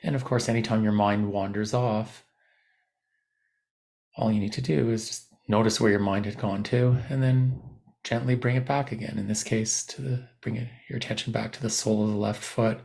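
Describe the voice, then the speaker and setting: A man leads a guided meditation in a fairly low voice with plenty of creak. His delivery is gentle and unhurried, giving calm instructions and leaving long pauses between phrases. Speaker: meditation teacher. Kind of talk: guided body scan meditation.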